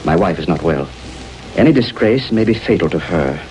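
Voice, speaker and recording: A proud judge with his back to the wall in a vintage radio serial. The voice is low, formal and strained, quick to indignation. Light music sits behind it. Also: animated, a little mellow, rough recording. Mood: bitter, cornered. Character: troubled male judge, proud, cornered and suspicious. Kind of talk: 1930s-40s radio drama acting.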